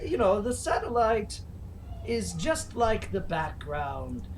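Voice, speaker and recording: A performer puts on a gleefully deluded mad scientist hosting a comedy show. The delivery is slow and halting, wandering off into asides. This villain cackles and savors dreams of revenge on the world. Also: mid-range voice, very animated, a little hiss or hum. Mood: gloating, rambling. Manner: halting, hesitant, prone to cackling. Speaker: show host. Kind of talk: rambling comic in-character monologue.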